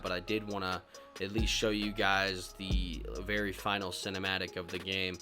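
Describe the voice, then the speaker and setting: A man giving a casual, laid-back recap of a video game, talking in slang and swearing freely. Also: on the low side. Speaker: gaming video host. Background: music behind the voice.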